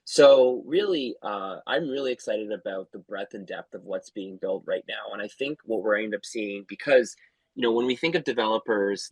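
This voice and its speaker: A company founder, a man, explaining his product eagerly on a casual call, talking in quick bursts. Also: lowish voice, no room sound, poor audio. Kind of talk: casual chat.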